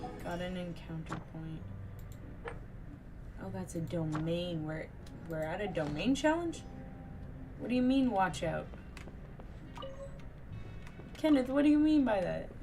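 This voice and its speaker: A woman's voice: lowish voice, unhurried, pauses often. Woman streaming a game over music. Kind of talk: casual live gaming commentary and reading aloud.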